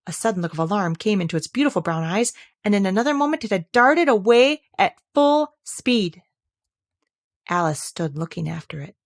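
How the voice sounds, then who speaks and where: A woman reading scripted narration from a children's storybook aloud, at a middle pitch. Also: no room sound, clean studio sound. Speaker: audiobook reader.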